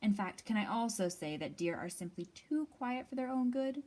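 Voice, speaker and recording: A woman's voice: fairly high pitch, lively intonation, normal speed, darkish tone. Woman reading expressively to children. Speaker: library storytime host. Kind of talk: expressive children's picture-book read-aloud.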